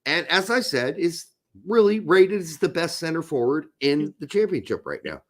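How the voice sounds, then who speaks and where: Man, hoarse, high and lively voice, emphatic, casual soccer show host. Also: normal speed. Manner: emphatic, swears when fired up. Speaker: podcast host. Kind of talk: casual live soccer talk.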